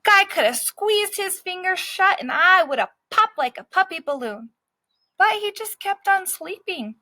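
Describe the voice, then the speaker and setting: A woman's voice: very high voice, animated, a little slow, bright, forceful tone, no echo, voice pushed up, full character voice. A woman voicing a scrappy, streetwise male dog who narrates his own story in a brash, cocky way.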